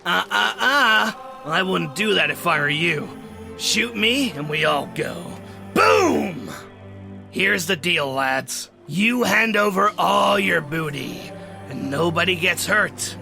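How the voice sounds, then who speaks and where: An actor playing a cheeky, eager pirate crewmate with a playful, excitable manner in an audio drama. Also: male voice, high-pitched, slow, gravelly, soft background music, exaggerated voice. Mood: slyly threatening.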